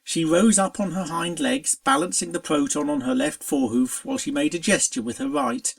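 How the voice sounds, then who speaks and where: Story narrator, dramatic and expressive, very lively intonation with a creaky edge. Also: mid-range voice, moderate pace. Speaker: fan fiction reader.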